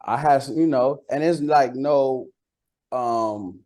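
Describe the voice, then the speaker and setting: A man's voice: medium pitch, slow, darkish tone. A man from Costa Rica, a native Spanish speaker, chatting casually as an interviewed rapper, slangy and repeating words for emphasis.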